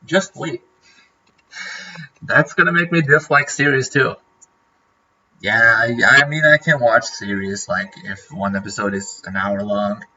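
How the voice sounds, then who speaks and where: A man's voice: mid-range voice, unhurried, pauses often, pushed and bright, lo-fi sound, slight background noise. A man from Norway, a native Norwegian speaker, chatting casually on a livestream and rambling with lots of fillers and restarts. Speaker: streamer.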